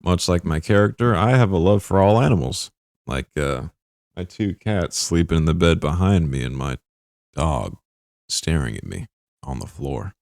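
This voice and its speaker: Deep-voiced man, creaky and lively. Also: moderate pace, no echo, studio quality. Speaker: voice actor. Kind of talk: scripted promotional introduction.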